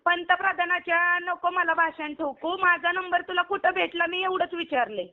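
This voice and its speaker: Very high-pitched woman, confrontational, insistent questioning, heated argument, muffled lo-fi phone call. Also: fairly even intonation, moderate pace. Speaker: online video performer receiving a prank call.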